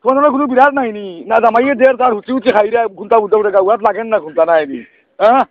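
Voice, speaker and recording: A man's voice: sits high, very fast, no room sound. A man with a Sylheti accent in a heated telephone argument, exasperated and rambling, often punctuating his scolding with questioning grunts. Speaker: prank call participant. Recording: thin noisy phone line.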